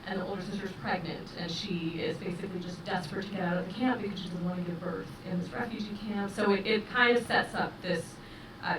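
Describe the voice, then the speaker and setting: Woman director in a roomy, distant recording. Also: medium pitch. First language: English. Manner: measured, thoughtful, many fillers. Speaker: film director. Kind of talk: festival interview. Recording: roomy, distant mic with noise.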